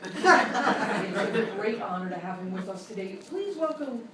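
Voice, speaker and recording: An actress playing a polite, earnest public-radio talk show host, formal and deadpan, in a parody interview. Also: female voice, medium pitch, unhurried, over a noisy crowd, close to an everyday voice. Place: live show in a hotel room. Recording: echoey live stage, distant mic, noisy.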